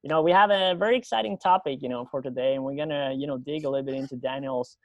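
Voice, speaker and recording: A man's voice: mid-range voice, fast talker, no echo, lo-fi sound. A man hosting a podcast, interviewing in a casual, chatty and slightly rambling way. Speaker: podcast host.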